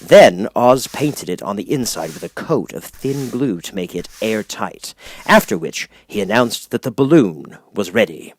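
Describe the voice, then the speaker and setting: A man's voice: fairly low pitch, lively intonation, unhurried. A man reading a children's story as scripted audiobook narration. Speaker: audiobook reader.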